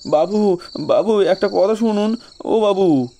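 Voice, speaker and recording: A man's voice: sits high, takes it slowly, dark tone, pitched above the performer's own voice. A man voicing a frightened, gullible village carrier in an audio story, stammering and pleading in a tearful way.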